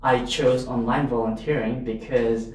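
A man's voice: medium pitch, fairly even intonation, unhurried, a little mellow, far from the mic. A man from Malaysia with a Malaysian accent, a non-native English speaker, giving advice and telling stories conversationally. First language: not English, learned it as a third language. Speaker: podcast guest, volunteer advocate. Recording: very echoey room, off mic, faint noise.

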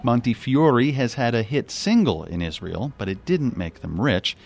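A man narrating a radio news report in a measured, scripted delivery. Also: medium pitch, lively intonation, creaky, darkish tone, slightly rough.

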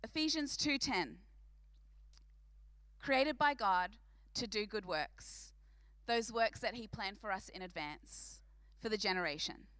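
A woman's voice: medium pitch, expressive, takes it slowly, a bit bright, no echo. A woman with an Australian accent preaching to a church congregation in a big, emphatic voice, repeating phrases for effect. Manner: big, projecting voice. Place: church service.

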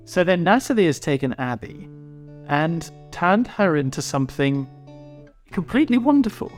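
Older guy in his sixties chatting for an interview, bit halting, repeats himself, some guitar music under him. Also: a little high, big pitch swings. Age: 60s. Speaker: writer and voice actor. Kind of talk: casual reflective interview talk. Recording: music behind the voice. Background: plucked guitar music.

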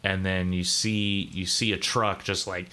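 A man's voice: sits low, flat delivery, unhurried, bright, forceful tone, slight background noise. A man narrating a tabletop game as game master in a casual, profane, rambling way. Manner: casual, profane, full of fillers and restarts.

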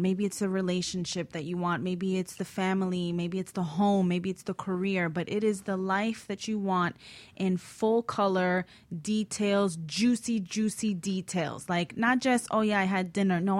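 A woman co-hosts a radio talk show and paints her points in vivid, animated detail. Her pitch sits in the middle range for a woman. The sound is dry and close, with no room around it. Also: moderate pace, no room sound. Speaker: co-host.

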